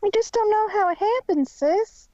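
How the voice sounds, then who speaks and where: A woman's voice: very high voice, normal speed, warm tone, muffled recording. A woman voicing a guilt-ridden little girl pony in a fan fiction audio story. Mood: guilty, worried.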